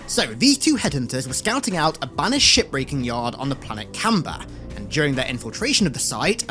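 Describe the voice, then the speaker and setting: A man's voice: fairly high pitch, fairly bright tone. A man hosting a video, delivering scripted commentary that recaps and breaks down a game's story with energy. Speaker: YouTube host recapping video game story.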